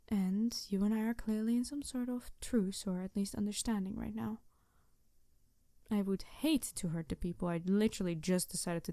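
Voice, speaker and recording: A woman playing a snarky, passionate supervillain who is secretly tender, in a halting, sardonic ASMR audio roleplay. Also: mid-range voice, warm tone, dry recording, clean studio sound, close to an everyday voice. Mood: soft, teasing. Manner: halting, sighing, emotionally candid, sardonic.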